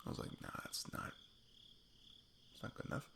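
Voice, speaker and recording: A man's voice: normal speed, pushed and bright, a little hiss or hum, lightly acted. A man playing a sweet, goofy best friend on a date in an ASMR roleplay, rambling playfully and poking fun at himself. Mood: playful, sheepish. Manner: rambling, playful, self-deprecating. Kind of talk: intimate ASMR roleplay, improvised casual chat.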